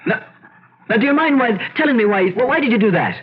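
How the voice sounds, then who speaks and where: An actor playing a pompous Irish gentleman landowner, emphatic and often interrupting himself, in an old-time radio drama. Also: very high voice, lively intonation, quick pace, slightly rough, full character voice. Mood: exasperated, flustered. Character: blustery Irish gentleman landowner, imperious, skeptical yet uneasy. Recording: muffled, hissy old radio recording.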